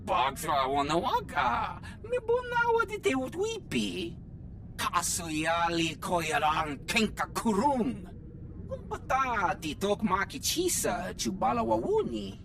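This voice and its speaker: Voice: medium pitch, very animated, slow pace, creaky, fairly bright tone, a little hiss or hum, exaggerated voice. An actor voicing a slippery alien crime dealer in a video game, speaking an invented alien tongue. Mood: bargaining. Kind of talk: video game cutscene dialogue in an invented language. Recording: music behind the voice.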